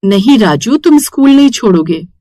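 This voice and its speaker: A woman voicing a kind, hardworking mother who runs a food stall in an animated moral story, caring and reassuring. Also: on the high side, normal speed, no room sound, clean studio sound, close to an everyday voice.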